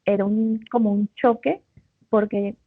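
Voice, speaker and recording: A woman's voice: mid-range voice, level tone, a little slow, breathy edge, no room sound. A woman co-hosting who tells a personal anecdote in a chatty, conversational way. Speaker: co-host. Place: living in Peru. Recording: tinny phone-like call line.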